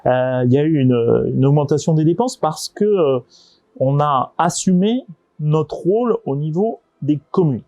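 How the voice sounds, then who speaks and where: A man's voice: on the high side, expressive, measured pace, warm tone, dry recording, dull audio. A man giving informal interview answers as a local government executive, often hesitating and repeating words as he searches for phrasing.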